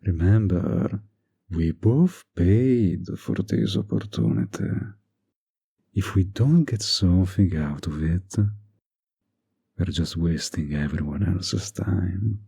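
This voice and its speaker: Male actor as a flirtatious villain, slow drawl, husky breathy tone, smug, manipulative, intimate roleplay audio. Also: sits low, very animated, mellow, exaggerated voice. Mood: smug, insistent. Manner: drawling, coaxing, smug, with deliberate pauses.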